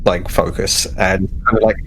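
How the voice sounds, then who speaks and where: A man's voice: sits low, flat delivery, normal speed, slight background noise. A man playing a tabletop role-playing game, casually improvising narration of what his character does. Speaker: tabletop role-play player.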